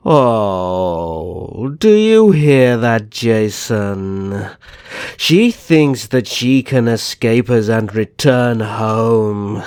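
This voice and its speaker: A man's voice: fairly low pitch, lively intonation, very slow, a little mellow, smooth, no echo. A man playing a suave, condescending vampire naga in an ASMR roleplay, speaking in courtly endearments. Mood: mocking, silky. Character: suave older vampire naga brother, a coaxing, mocking kidnapper.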